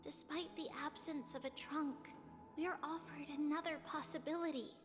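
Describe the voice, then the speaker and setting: A woman playing a gentle, solemn childlike girl in a video game, speaking in a measured, wistful way. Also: sits high, fairly even intonation, lightly acted. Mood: solemn, resigned. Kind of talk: scripted video game voice acting. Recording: long reverb, music underneath. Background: game music.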